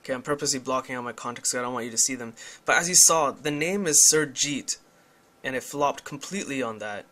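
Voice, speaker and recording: A man's voice: mid-range voice, fast, bright, forceful tone, a little hiss or hum. A young man giving a casual, candid demonstration of a phone app, explaining things as he goes. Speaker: tech reviewer.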